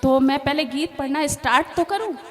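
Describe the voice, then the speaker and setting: A woman poet at a mushaira, singing her verses in a drawn-out lilt into a stage microphone and chatting with the crowd between them. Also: high-pitched. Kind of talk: sung poetry recital. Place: outdoor evening poetry stage. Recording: long reverb, faint music.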